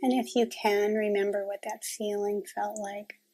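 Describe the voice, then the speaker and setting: A woman leading a guided meditation in a calm, gentle, unhurried manner with long pauses. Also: medium pitch, soft background music, poor audio.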